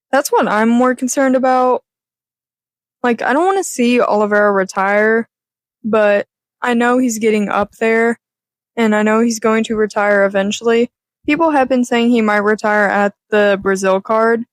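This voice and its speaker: A woman with a raspy voice giving a candid, rambling rant to her channel, swearing casually. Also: mid-range voice, no room sound. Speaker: YouTuber.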